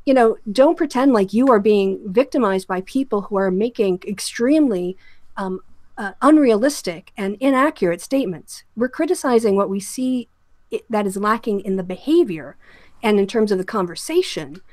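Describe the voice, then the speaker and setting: A woman's voice: medium pitch, lively intonation, normal speed, a little mellow, no room sound. A woman hosting a discussion show, giving emphatic, critical commentary that sounds like an opinionated rant with direct address.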